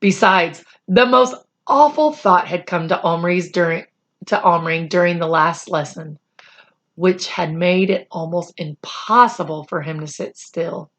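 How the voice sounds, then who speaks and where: A woman reading a children's chapter book aloud in expressive, deliberate storytelling phrases. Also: lowish voice.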